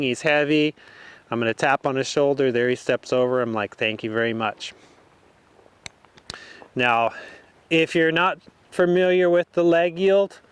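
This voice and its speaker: A man's voice: mid-range voice, clean tone, no echo. A man working as a horse riding instructor, informally explaining each step while he demonstrates from the saddle.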